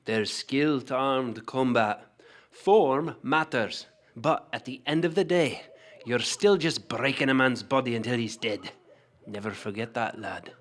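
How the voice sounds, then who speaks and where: Man playing a slow, stern seasoned warrior. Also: mid-range voice, fairly bright tone, close to an everyday voice. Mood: grim. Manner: stern, deliberate. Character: grim, seasoned male warrior drilling a recruit. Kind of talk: fantasy audio drama acting.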